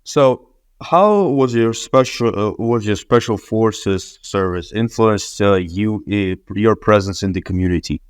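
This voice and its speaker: Low-pitched man, halting interviewer. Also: clean tone, no echo, studio quality. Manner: hesitant, halting, stumbling phrasing. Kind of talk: informal interview questioning.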